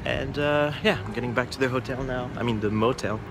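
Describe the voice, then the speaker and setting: A young man chatting to his camera from the driver's seat, easygoing and unhurried. His pitch sits in a middle range for a man, and he fills pauses with relaxed asides as he thinks aloud. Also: background noise. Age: young adult. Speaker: travel vlogger. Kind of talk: casual road trip vlog. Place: inside a car while driving; motel room, small, indoors. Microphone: camera mounted on the dashboard.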